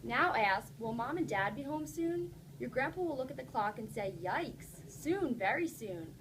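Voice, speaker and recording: Woman teacher, higher-pitched, noisy classroom recording. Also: lively intonation, a little slow. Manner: encouraging, checks in with questions. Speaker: teacher reading a picture book to young children. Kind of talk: interactive classroom read-aloud and discussion. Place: classroom with young children.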